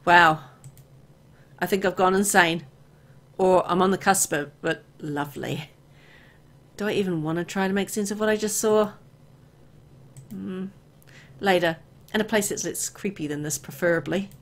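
A woman reading a horror visual novel aloud as dramatic, expressive narration, as host of a gameplay video. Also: on the low side, normal speed, pauses often.

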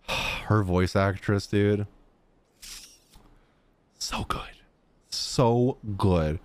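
A man in his thirties giving casual, slangy live reaction commentary on a game, animated and expressive. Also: lowish voice, extremely slow, smooth. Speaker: live streamer. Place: indoor streaming room at home. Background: game music.

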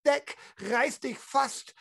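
A game master narrating a tabletop role-play dramatically, with vivid descriptions and suspenseful pauses. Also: high-pitched, gravelly, fairly bright tone, dry recording, clean studio sound. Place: indoor room, video call.

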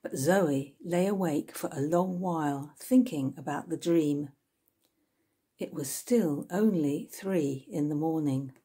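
A woman in her sixties reading a novel aloud in an expressive storytelling way, indoors at home. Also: low voice, takes it slowly, slightly rough. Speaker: author reading from own novel. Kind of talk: scripted narration, audiobook-style reading. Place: small room at home with bookshelves, indoors.

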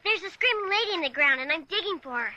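A woman's voice: very high voice, expressive, quick pace, pushed and bright, muffled recording, a little hiss or hum, exaggerated voice. A woman playing an earnest, stubborn young American girl in an audio drama, insistent and pleading. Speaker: actor and narrator in an audio drama. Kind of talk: dramatic radio-play acting.

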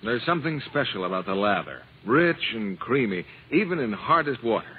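A man's voice: medium pitch, sing-song, a little fast, a little hiss or hum. A man announcing a scripted advertisement in a 1950s radio style. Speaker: commercial announcer. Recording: muffled old radio broadcast.

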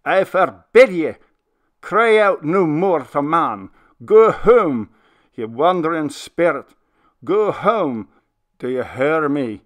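A man's voice: on the high side, very animated, slow pace, voice pushed up. A man doing an old minister, impassioned. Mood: commanding. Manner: impassioned, sobbing, commanding. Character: old male minister pleading with a ghost.

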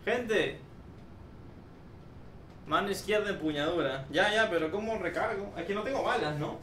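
Excitable young man streaming a game. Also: high-pitched, normal speed. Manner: excitable, chatty, sudden loud outbursts. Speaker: livestreamer. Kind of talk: casual gameplay livestream chat. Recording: noisy echoey room, from far off.

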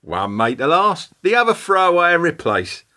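A chatty, grumbling plumber acted by a performer in a radio play. The delivery is opinionated and complaining, with a lively, animated rise and fall. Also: medium pitch, normal speed. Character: grumbling, chatty plumber lamenting a throwaway world.

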